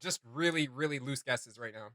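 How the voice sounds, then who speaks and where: A man hosting a reaction video, chatting casually in an animated, rambling, speculative way. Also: medium pitch, normal speed, no room sound, clean studio sound. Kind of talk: casual chat, reacting to game voice lines.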